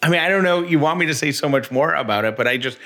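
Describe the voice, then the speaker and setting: A man's voice: fairly high pitch, expressive, fast, bright, forceful tone, soft background music. A man chatting casually as a podcast co-host, with a wry, joking way of talking. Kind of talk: casual chat.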